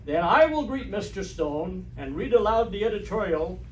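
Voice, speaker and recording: An actor playing a pompous, vain, bossy middle-aged principal in old-time radio comedy, formal and blustery. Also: male voice, high voice, animated, moderate pace, far from the mic. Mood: imperious. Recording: roomy stage recording from afar, hiss.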